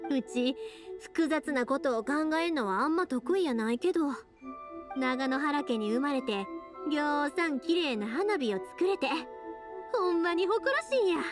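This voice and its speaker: High-voiced, cheerful Kansai young woman. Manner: friendly, earnest, colloquial. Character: cheerful, sincere young woman, a fireworks maker. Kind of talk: Japanese anime-style game voice acting.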